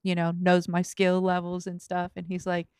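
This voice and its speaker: A woman's voice: on the low side, monotone, moderate pace, darkish tone, clear, resonant tone, no echo, clean studio sound. A woman from Los Angeles, a rapper guest on a podcast, chatting casually with lots of fillers, restarts and laughs. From Los Angeles, USA. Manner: rambling, filler-heavy, laughs often.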